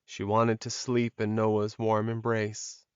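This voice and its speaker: A man's voice: on the low side, completely flat delivery, slow pace, clear, resonant tone, dry recording, lo-fi sound. Very dry-sounding male audiobook narrator. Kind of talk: scripted dramatic fiction narration.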